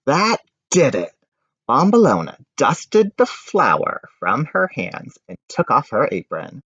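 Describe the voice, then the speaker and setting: A man's voice: medium pitch, wildly swooping pitch, slow pace, a bit bright, slightly rough. A man reading a picture book aloud to children like a teacher, expressively and with chatty asides. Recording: dull, echoey room recording.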